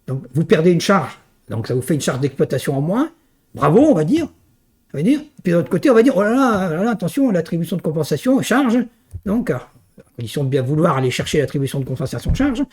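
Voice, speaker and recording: A man's voice: fairly high pitch, big pitch swings, fairly quick, a little mellow. A man lecturing on a technical subject in a didactic, emphatic way, often punctuating his points with little tag questions. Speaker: university lecturer. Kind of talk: teaching a technical finance course.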